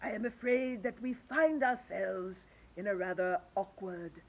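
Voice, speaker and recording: A woman voicing an old male grasshopper who leads the group, speaking gently and reassuringly in a storybook. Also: medium pitch, a little slow. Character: old male grasshopper, kindly leader, trying to be cheerful.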